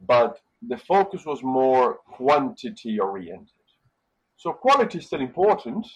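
A man's voice: medium pitch, breathy edge, muffled recording. Middle-aged guy from brazil chatting as a guest, easygoing storyteller, says "right?" a lot. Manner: relaxed storytelling with frequent fillers and "right?". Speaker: hotel manager, guest. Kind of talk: casual interview conversation.

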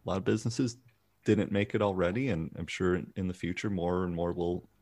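A man's voice: sits low, steady tone, fairly quick, darkish tone, no echo. A man hosting a podcast, speaking hesitantly and filling his sentences with "you know" and "um". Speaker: podcast host. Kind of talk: casual podcast interview.

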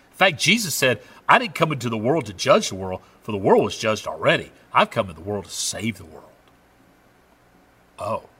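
Southern pastor preaching with lively swings. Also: male voice, mid-range voice, slightly rough. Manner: conversational preaching, swings between loud emphasis and quiet asides. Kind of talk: sermon.

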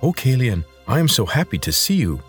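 A man's voice: mid-range voice, very animated, fairly quick, faint music behind, pitched above the performer's own voice, natural voice. A man voicing a small, loyal, fretful lizard creature in an audiobook, with formal, deferential phrasing. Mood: joyful. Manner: formal, deferential phrasing addressing a master as sir. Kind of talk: audiobook character voice.

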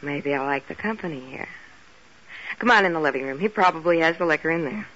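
Blanche, coy femme fatale. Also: female voice, deep voice, expressive, quick pace, fairly bright tone. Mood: seductive. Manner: coy, flirtatious, hesitant. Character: Blanche, toy-sized seductive, evasive brunette femme fatale. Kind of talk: 1940s radio drama acting. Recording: muffled old radio recording.

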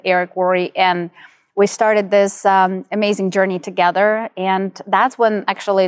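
A woman's voice: mid-range voice, dry recording. A middle-aged woman with a Ukrainian accent, telling her personal story as an interview guest. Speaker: podcast guest, entrepreneur.

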